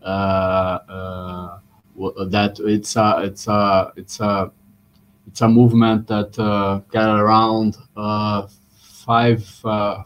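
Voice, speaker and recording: A man from Brazil, a bank representative on a webinar panel, speaking haltingly with many fillers. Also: fairly low pitch. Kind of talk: online panel discussion.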